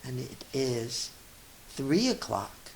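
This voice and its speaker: Slow-paced woman reading to children. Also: rumbling low, vocal fry, slight background noise, poor audio. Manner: encouraging, expressive, asks children questions. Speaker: teacher reading aloud to children. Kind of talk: children's story read-aloud with teaching asides.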